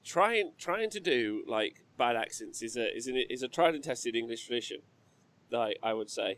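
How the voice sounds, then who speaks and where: A man's voice: medium pitch, lively intonation, normal speed, fairly bright tone. A man hosting a live stream, joking and teasing his co-host in casual banter.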